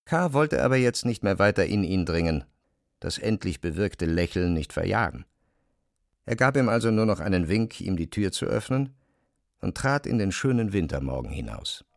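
A male narrator tells a literary story in a low, creaky voice. His intonation swings expressively, and the recording is close and dry. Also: very animated, moderate pace, no echo.

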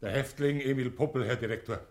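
An actor playing a dutiful prison warder in a 1960 German radio play, clipped and curt, in a roomy space. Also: male voice, medium pitch, fast talker, darkish tone, natural voice. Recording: roomy echo.

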